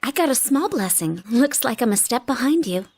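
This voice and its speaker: An actress playing a shy, polite young woman in anime game dialogue, soft-spoken and demure. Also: fairly high pitch, animated, moderate pace. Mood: happy, tender. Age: young adult.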